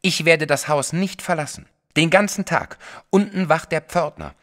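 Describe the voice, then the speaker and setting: A man's voice: fairly high pitch, moderate pace, fairly bright tone, natural voice. An actor playing an anxious, insistent wealthy man in a German audio drama, pleading his case.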